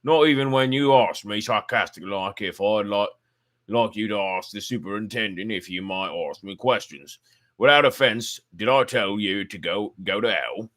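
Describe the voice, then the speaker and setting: Gruff old zookeeper, as a man reads him. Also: lowish voice, normal speed, close to an everyday voice. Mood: gruff, hearty. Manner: loud and hearty, stumbling over dialect words. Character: gruff elderly male zookeeper, blunt and rough-spoken. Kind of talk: amateur audiobook reading.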